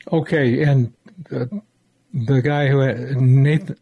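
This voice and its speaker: A man's voice: mid-range voice, fairly even intonation, takes it slowly, vocal fry, breathy edge, no echo, highs cut off. A man with an American accent hosting a podcast in a casual, rambling, folksy way. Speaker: podcast host.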